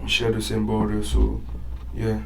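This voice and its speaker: A man, chatting casually as a podcast guest in a relaxed, chuckling way. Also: on the low side, normal speed, vocal fry, far from the mic. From Burkina Faso. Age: 20s. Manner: laid-back, chuckling, loose filler-heavy talk. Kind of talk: casual interview chat. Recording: voice a bit off-mic with faint room noise.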